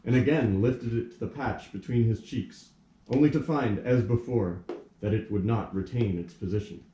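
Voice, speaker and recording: A man narrating a puppet play as scripted storybook narration, in a formal, measured storytelling manner. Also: fairly low pitch, dark tone, highs cut off, a little hiss or hum. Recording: echoey room.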